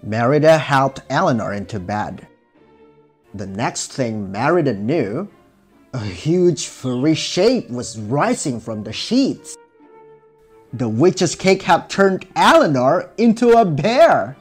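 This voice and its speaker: A man narrating a scripted children's fairy tale in a measured, expressive storybook style. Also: mid-range voice, husky. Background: music.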